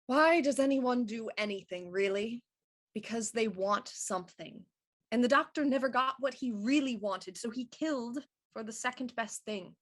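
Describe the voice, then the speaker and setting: A woman playing a shrewd, self-assured Victorian police inspector, explaining deliberately and theatrically in a murder mystery audio drama. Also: a little high, lightly acted. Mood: coolly explaining.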